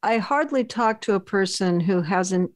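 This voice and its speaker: A woman's voice: medium pitch, lively intonation, unhurried. A woman interviewer with a mid-range voice who asks thoughtful, probing questions. She often closes her sentences with tag questions such as "right?" and "don't they?". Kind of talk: conversational interview.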